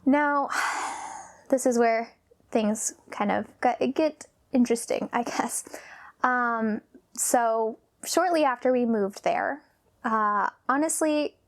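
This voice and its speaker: Brief: woman, 30s, a touch high, nervous and hesitant, confessional vlog. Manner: halting, many pauses and sighs, sometimes drops to a whisper. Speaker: vlogger. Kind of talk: personal confessional talk.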